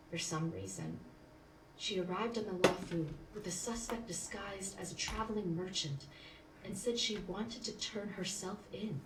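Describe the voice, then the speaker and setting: An actress playing an earnest, knowledgeable military attendant in a game, explaining things in a measured, formal way. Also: female voice, medium pitch, animated, far from the mic, very noisy, lightly acted. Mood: grave, explaining. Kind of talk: scripted game dialogue, exposition. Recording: roomy game audio under clear music.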